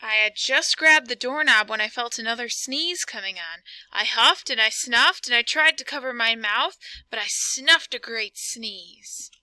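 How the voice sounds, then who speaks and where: Woman reading as bright, self-justifying storybook wolf. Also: a little high, a little slow, hardly any pauses, no echo, low-quality recording. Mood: mock-innocent. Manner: conversational, mock-sincere, addresses listener directly. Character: self-justifying male storybook wolf, folksy, playing innocent. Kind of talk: expressive read-aloud storytelling.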